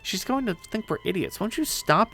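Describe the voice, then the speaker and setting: A man voices a petulant young guy in an audio drama. He bickers in a whiny, creaky voice that jumps around in pitch. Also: lively intonation, brisk, sharp tone, soft background music, exaggerated voice. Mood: petulant squabbling.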